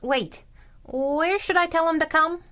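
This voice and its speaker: An actress playing a plucky, loyal young sidekick with a wry, spirited manner in a 1930s-style superhero radio drama. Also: female voice, extremely high pitch, unhurried, sharp tone, exaggerated voice.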